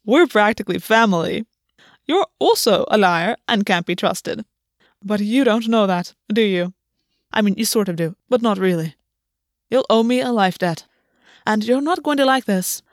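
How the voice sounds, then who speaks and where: A woman's voice: medium pitch, lively intonation, moderate pace, no echo, natural voice. Lady doing a smug teasing underworld god guy, sarcastic and offhand, dry close sound. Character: mocking male underworld god, sly and amused.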